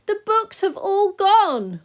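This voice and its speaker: A woman's voice: very high-pitched, big pitch swings, takes it slowly, voice pushed up, exaggerated voice. A woman voicing an upset six-year-old boy crying out in a children's storybook. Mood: upset, urgent. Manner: crying out loudly.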